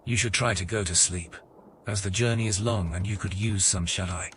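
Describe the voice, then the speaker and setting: An actor narrating a fantasy audio game as a calm, measured male storyteller. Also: low voice, slight background noise. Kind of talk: scripted narration.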